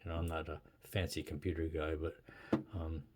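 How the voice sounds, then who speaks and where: A man's voice: rumbling low, completely flat delivery, moderate pace. A middle-aged man talking casually to himself as he shows something at home, hesitant, musing and self-deprecating. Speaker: amateur video maker. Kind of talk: casual rambling talk to himself while showing an object. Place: indoors at a wooden desk in a home room. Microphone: camera or phone on a tripod. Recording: clearly noisy home recording with clicks.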